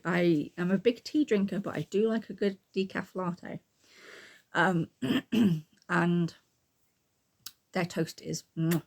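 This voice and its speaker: A middle-aged woman, croaky from a frog in her throat, chatting to camera in a rambling way full of ums and throat clearing. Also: fairly low pitch, darkish tone. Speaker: book and art YouTuber. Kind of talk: casual chat to camera.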